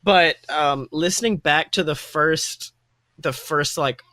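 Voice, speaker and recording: A man's voice: on the high side, takes it slowly, sharp tone, no echo. A young man co-hosting a podcast, chatting casually and enthusiastically in a rambling, gushing way that often interrupts itself. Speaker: podcast co-host.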